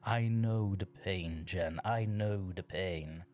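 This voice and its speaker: A man's voice: low voice, takes it slowly, a little mellow, highs cut off, soft background music. A man hosting a livestream, chatting casually and playfully with his audience and reading their comments aloud, often chuckling. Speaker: livestream host reading chat.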